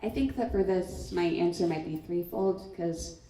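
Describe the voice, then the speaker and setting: A woman's voice: fairly low pitch, moderate pace, dark tone. A young woman on a student writers' panel, giving a thoughtful answer with many "um" and "like" fillers. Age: teens. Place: school event hall. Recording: echoey room, far from mic.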